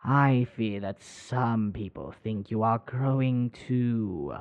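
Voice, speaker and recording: A man voicing a stern, suspicious royal official in an audio roleplay, with a formal, measured, probing manner. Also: mid-range voice, a little mellow, clear, resonant tone. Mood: ominous, formal.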